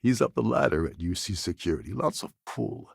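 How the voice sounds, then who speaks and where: Gravelly, low-voiced sardonic space pirate. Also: male voice, expressive, a little fast, a little mellow, no room sound, studio quality, full character voice. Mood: sly, measured. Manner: wry, sarcastic, world-weary. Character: gruff, sardonic space pirate con man, scheming and impatient. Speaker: video game character. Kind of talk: video game voice acting.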